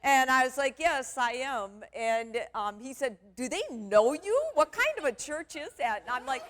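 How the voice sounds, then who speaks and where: A middle-aged woman with a booming voice, a therapist giving a chatty, candid talk to a church conference. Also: animated, unhurried. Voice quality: loud, booming voice. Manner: chatty, candid, self-deprecating. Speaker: guest speaker, sex therapist. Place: church stage.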